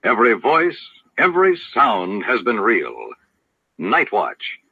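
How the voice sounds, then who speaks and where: A male announcer reads scripted credits in 1950s radio style. His voice is husky and lively, squeezed through a tinny, narrow old recording. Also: medium pitch, takes it slowly, no room sound.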